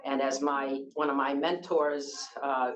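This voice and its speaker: A woman's voice: rumbling low, level tone, measured pace, soft background music, rough recording. Boston lady in her 60s running a panel, chatty, lots of uhs and restarts. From Boston, United States. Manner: loose and conversational, many fillers and restarts. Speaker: panel moderator. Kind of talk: conference panel introduction.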